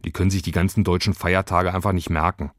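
A man's voice: low voice, little pitch movement, quick pace, fairly bright tone, no echo, clean studio sound. A man voicing a cheeky, unbothered daredevil schoolboy in a children's story. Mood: casual.

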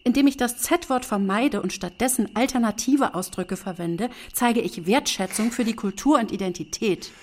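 Woman acting uptight middle-aged mom in a radio play, correcting everyone, slightly high voice. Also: moderate pace, few pauses, a little mellow, slight background noise, lightly acted. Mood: lecturing, indignant. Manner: pedantic, defensive. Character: earnest, correcting, anxious wife and mother.

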